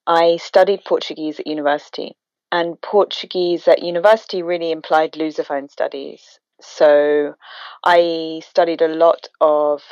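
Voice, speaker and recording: A woman, a documentary filmmaker, answering interview questions in a reflective, measured way over a phone call. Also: sits low, clean tone, no room sound. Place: home, remote call. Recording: dull phone-call audio.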